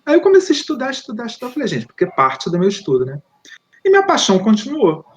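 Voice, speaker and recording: A man's voice: high voice, wildly swooping pitch, fast. A Brazilian man, an author, speaking to an online audience in a conversational way and often tagging his sentences with "né?". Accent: Brazilian Portuguese. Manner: conversational, often adds rhetorical "né?". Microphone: video call. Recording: lo-fi online call audio with faint noise.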